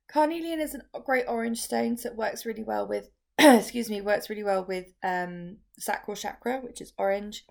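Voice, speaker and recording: A woman teaching on camera, talking through each step in a chatty way with many restarts and ums, her voice a bit croaky. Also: medium pitch, darkish tone. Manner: chatty, filler-heavy explaining with frequent restarts. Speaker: crystal workshop teacher. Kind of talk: casual how-to video.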